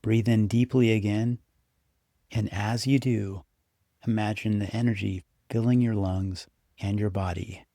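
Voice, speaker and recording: Male meditation guide, lower register with noticeable vocal fry, scripted, encouraging and instructive delivery. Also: fairly even intonation, warm tone, no echo, studio quality. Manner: unhurried, instructive, encouraging second-person address.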